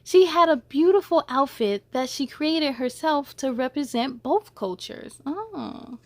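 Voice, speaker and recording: High-voiced woman reading a storybook aloud. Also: lively intonation, unhurried, darkish tone. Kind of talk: expressive children's picture book reading with short asides.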